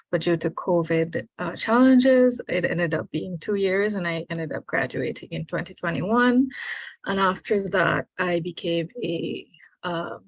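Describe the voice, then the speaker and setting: A woman's voice: lowish voice, no echo, muffled recording. A woman with a Guyanese accent, giving an informal testimonial as a program alumna. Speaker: program alumna and regional coordinator.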